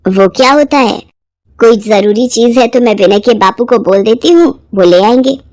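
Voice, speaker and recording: A woman's voice: fairly high pitch, normal speed, a little mellow, no echo, clean studio sound, natural voice. A woman voicing a strict, orthodox elderly mother-in-law who scolds and orders people about in a moral-story drama. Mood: disapproving. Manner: scolding, commanding.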